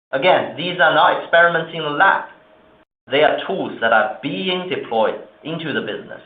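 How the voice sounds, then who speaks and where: A man speaking formally and in a measured way as a company executive on an earnings call. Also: mid-range voice. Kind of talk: earnings call remarks and answers. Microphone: conference call line. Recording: roomy, narrow phone-like conference line.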